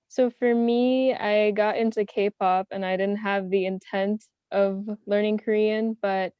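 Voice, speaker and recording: A young woman answering questions as a panelist in a casual online round-table, soft-spoken and modest. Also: medium pitch, smooth, no echo, highs cut off. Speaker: panelist, self-taught language learner.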